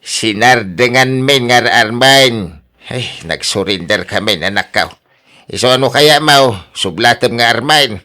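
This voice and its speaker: A man plays a teasing, persuasive village buddy in a Filipino radio drama. His mid-pitched voice is sharp and forward, needling and skeptical, with a Filipino accent. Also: expressive, unhurried. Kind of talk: Ilocano radio drama acting.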